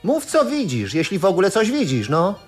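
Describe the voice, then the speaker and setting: A man acting in a radio play as a wry young bohemian painter, anxious and plaintive, with colloquial, unhurried speech. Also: high voice, huge pitch swings, faint music behind.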